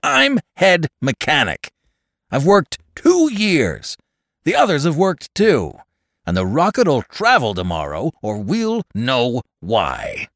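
Man acting a hot-tempered, forceful rough workman. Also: a little high, huge pitch swings, slow pace, no room sound, studio quality, voice pushed up, full character voice. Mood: shouting anger. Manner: forceful, demanding. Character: hot-tempered, threatening male head mechanic, rough workman.

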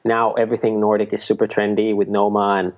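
A man from Finland, his voice low and flat in pitch. He talks as a podcast guest, laying out ideas in a steady, explanatory way. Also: fast talker. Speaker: guest, mushroom food entrepreneur. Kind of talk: casual interview talk.

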